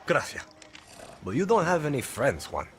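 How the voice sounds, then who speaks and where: An actor playing a cocky, sarcastic guerrilla fighter in a video game. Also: male voice, a little high, huge pitch swings, moderate pace. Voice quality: sarcastic, offhand. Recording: game music loud behind.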